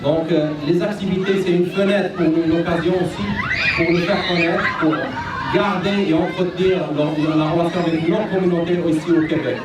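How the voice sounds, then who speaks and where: A man's voice: sits high, fairly even intonation, fairly quick. A man speaking as a federal police officer at an outdoor community festival, hesitant, with many restarts and fillers. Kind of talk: public speech at an outdoor festival. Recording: echoey PA sound from far off, crowd noise. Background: crowd chatter.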